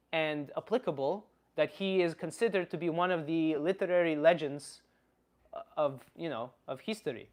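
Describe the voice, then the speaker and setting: A man playing a blunt Slavic uncle, philosophizing in halting, casual livestream talk and answering chat comments. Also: a little high, close to an everyday voice. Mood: casual, opinionated. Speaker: livestream host.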